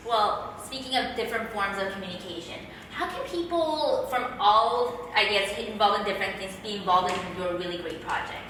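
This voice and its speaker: A woman's voice: mid-range voice, normal speed, few pauses, fairly bright tone, a little hiss or hum. A woman hosting an interview, upbeat and encouraging, chatty with frequent fillers, recorded from a distance in a room. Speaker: host. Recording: echoey room from far off.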